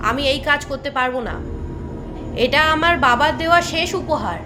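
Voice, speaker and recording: A woman's voice: high voice, brisk, over music, lightly acted. A woman playing an anxious but defiant young orphaned daughter in an audio horror story.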